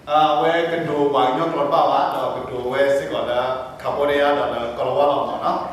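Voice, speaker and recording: A man's voice: medium pitch, fairly even intonation, fast. A man working as a pastor and interpreter, relaying a message to a church congregation, repeating himself as he searches for words. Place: large echoey indoor room. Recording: very echoey hall from far off, faint noise.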